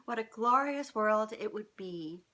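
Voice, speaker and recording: A woman's voice: mid-range voice, lively intonation, measured pace, bright, forceful tone, voice pushed up. Woman reading as an earnest little storybook mouse. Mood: earnest, hopeful. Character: kind, thoughtful little male mouse.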